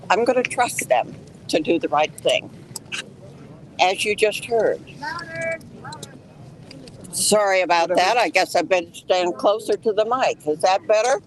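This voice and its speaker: An older woman from Colorado, loud and plain-spoken, making a statement outdoors as a case's lead petitioner. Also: on the low side, noisy background. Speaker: lead petitioner speaking at a press conference. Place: outdoors on a city street.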